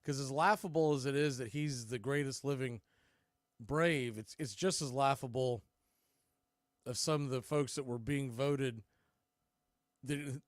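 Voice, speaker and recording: A man's voice: medium pitch, no echo, clean studio sound. A man doing live baseball play-by-play as announcer and host, conversational and opinionated.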